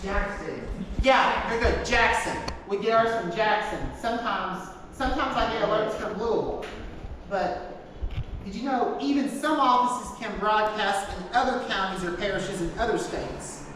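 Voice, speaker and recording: A woman's voice: medium pitch, a bit bright. Woman teaching in a very echoey hall. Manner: halting, repeats phrases. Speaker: presenter teaching a class. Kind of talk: informal class talk, partly read from notes. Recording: echoey hall, distant mic, noisy background.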